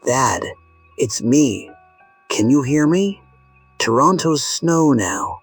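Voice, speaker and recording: Guy playing a worried gentle son calling his dad, raspy, really slow n hesitant, radio drama with music under. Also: medium pitch, animated, no room sound, exaggerated voice. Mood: anxious, tender. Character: anxious, tender grown son phoning his father from abroad. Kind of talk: audio drama acting.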